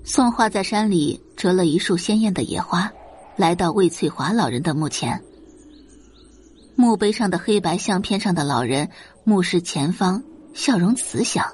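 A woman's voice: on the low side, animated, moderate pace, darkish tone, slight background noise. A woman narrating a scripted audiobook story in a dramatic storytelling style.

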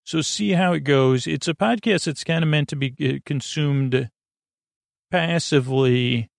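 A man hosting a bedtime podcast in a meandering, hesitant monologue, often interrupting himself, with a gravelly, creaky voice. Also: fairly high pitch, a little slow, no echo, studio quality. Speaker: podcast host.

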